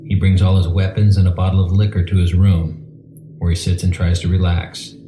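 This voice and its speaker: A man's voice: low voice, level tone, fairly quick. A man reading scripted, screenplay-style narration for an audio drama. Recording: roomy echo with faint music.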